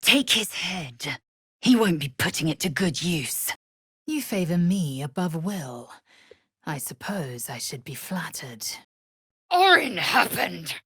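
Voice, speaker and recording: A woman's voice: fairly low pitch, very animated, slow, a bit bright, breathy edge, dry recording, clean studio sound, heavily acted. A woman playing a fierce, proud alien warrior in a video game, haughty and clipped, with formal, disdainful phrasing. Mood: hushed, then fierce battle cries. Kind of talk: video game character voice lines.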